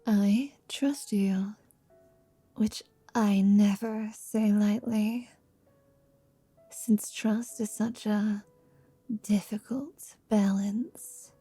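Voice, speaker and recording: A woman playing a confident, teasing half-vampire wizard in an intimate ASMR roleplay, speaking in a soft, languid whisper. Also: mid-range voice, fairly even intonation, very slow, pauses often, husky, dry recording, faint music behind. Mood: tender.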